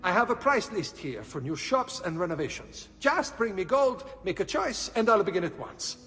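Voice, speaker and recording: Fussy Italian architect, very high male voice, swooping intonation, salesmanlike, game cutscene, reverberant space. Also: sing-song, moderate pace, pushed and bright, heavily acted. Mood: eager, persuasive. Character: fussy, eager Italian architect hawking renovations.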